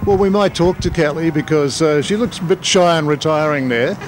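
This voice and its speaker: A man's voice: a little high, brisk, over music. A man with an Australian accent reporting and interviewing on location as a television news reporter. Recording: noisy outdoor location, traffic ambience.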